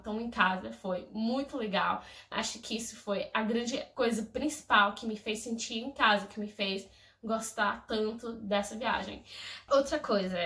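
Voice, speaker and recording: Bright-voiced gushing young Englishwoman vlogging. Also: a little high, reverberant, distant mic. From England. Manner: effusive, gushing, lively storytelling. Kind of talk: casual chat to camera.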